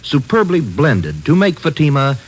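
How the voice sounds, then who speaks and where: A man reading a 1950s radio advertisement as an announcer, with a smooth, emphatic sales pitch. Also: huge pitch swings, normal speed. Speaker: commercial announcer.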